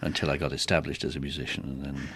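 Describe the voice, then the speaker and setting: A man's voice: rumbling low, steady tone, quick pace, a bit bright, husky, no room sound. A middle-aged man chatting casually as an interview guest, looking back over his working life as a musician. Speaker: interview guest, theatre organist. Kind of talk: casual conversational reminiscence.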